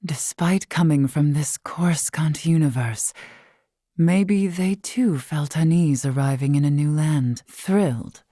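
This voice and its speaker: An actress playing a wise, long-lived female scholar in a video game, composed and curious, speaking in formal, measured, eloquent phrases. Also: low voice, no room sound, clean studio sound. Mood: quiet wonder. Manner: formal, measured, eloquent, softly breathy at times. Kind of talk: scripted video game character dialogue.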